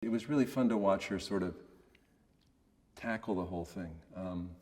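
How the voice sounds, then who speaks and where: A man giving a casual, reflective interview in a monotonous voice, hesitant and understated as he searches for words. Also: low-pitched, normal speed, in a large hall. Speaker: voice actor being interviewed.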